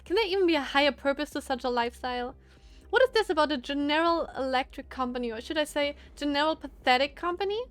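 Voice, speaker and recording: A woman's voice: high voice, fast talker, fairly bright tone, no echo. A woman voicing a cool, haughty woman in menswear from a visual novel, aloof and sardonic with a teasing streak. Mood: mocking. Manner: aloof, sardonic, feigned innocence.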